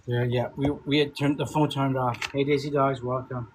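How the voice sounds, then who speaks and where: A man's voice: mid-range voice, little pitch movement, moderate pace, slight background noise, poor audio. A man in his fifties chatting casually on a live stream, rambling, repeating himself and swearing as he reads comments. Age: 50s. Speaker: food content creator streaming live. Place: home, Chicago. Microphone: phone.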